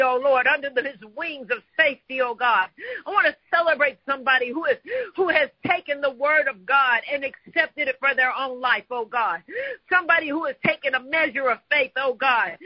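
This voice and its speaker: A man with an extremely high voice leads an impassioned, improvised prayer. He declaims in repeated invocations and refrains, like a prayer leader carried along by fervor. The recording is dry and somewhat dull and lo-fi. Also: no echo.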